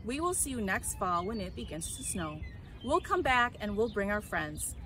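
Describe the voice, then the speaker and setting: A woman's voice: medium pitch, sing-song, normal speed, fairly bright tone. A woman voicing happy, polite migrating geese in a cheery, emphatic storybook delivery. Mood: cheerful. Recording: clear background noise.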